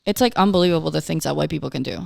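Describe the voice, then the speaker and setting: A woman's voice: low voice, very fast, gravelly, background noise. A woman in her twenties, a Spanish speaker from Latin America with a light accent, chatting as a podcast co-host and filling her talk with "like". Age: 20s. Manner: chatty, lots of "like" fillers. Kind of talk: casual chat.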